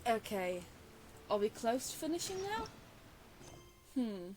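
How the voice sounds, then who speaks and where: A woman's voice: fairly high pitch, sing-song, slow pace, noisy background. A woman giving casual gameplay commentary as a streamer, musing aloud as she plays. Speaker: gaming streamer.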